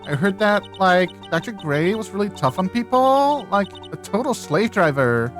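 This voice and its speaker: A woman's voice: medium pitch, lively intonation, moderate pace, soft background music, voice pushed up, close to an everyday voice. A performer voicing a ditzy young valley-girl woman who drawls defensively in a video game dub. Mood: sad, weary. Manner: filler-heavy valley-girl drawl, peppered with "like".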